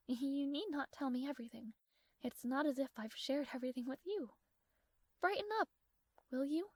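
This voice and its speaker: A woman's voice: high-pitched, darkish tone, no room sound. A woman playing a proud, strong-willed young princess in a romantic fantasy audio roleplay, speaking formally with haughty, flustered hesitations. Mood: gently bossy.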